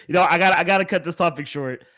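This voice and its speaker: A man's voice: high voice, fast talker, bright, forceful tone. A man hosting a podcast in casual, opinionated chat, speaking emphatically and repeating words for emphasis. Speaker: podcast host.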